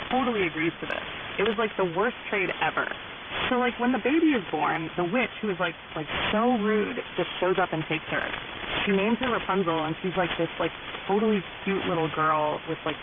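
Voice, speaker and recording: A woman's voice: on the low side, brisk. A young American woman with a Californian Valley girl accent, telling fairy tales in gushy, ditzy, exaggerated slang. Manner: gushy, ditzy, peppered with filler words. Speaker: storyteller on a pirate radio program. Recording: hissy, narrow-band shortwave radio, very noisy. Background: loud static and hiss.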